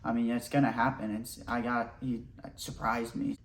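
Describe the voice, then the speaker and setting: A young man hosting a mock survival show outdoors, talking in a deadpan, boastful, rambling way. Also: medium pitch, moderate pace. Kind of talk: deadpan comedic parody narration. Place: outdoors in nature.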